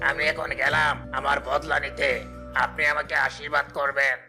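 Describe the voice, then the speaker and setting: A man's voice: on the high side, completely flat delivery, moderate pace, lightly acted. A man voicing a vengeful, scheming snake who fawns on its master, in a cartoon with music behind. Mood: obsequious scheming. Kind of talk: dubbed cartoon dialogue. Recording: loud music bed under voice.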